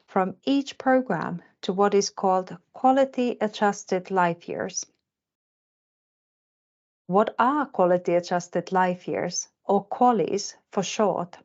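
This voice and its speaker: A woman in her forties from Finland, a health economist giving a scripted lecture in a careful, measured way. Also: mid-range voice, darkish tone. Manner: measured, carefully paced delivery. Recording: slightly dull lo-fi recording.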